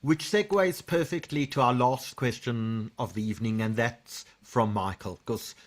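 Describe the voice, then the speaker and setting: A man moderates an online briefing, smoothly introducing a question. His voice has a medium pitch and an even delivery. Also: unhurried.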